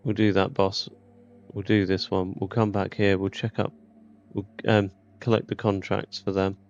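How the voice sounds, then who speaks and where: Low, flat-toned gamer with a gravelly edge. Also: male voice, normal speed, highs cut off, soft background music. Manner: informal, colloquial, thinking aloud. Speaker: gaming YouTuber. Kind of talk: casual gameplay commentary.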